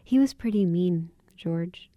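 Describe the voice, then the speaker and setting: An actress playing a wistful, kindly young woman in a radio drama, speaking in a soft, candid way. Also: fairly low pitch, slow pace, dry recording, highs cut off. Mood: tearful, hushed.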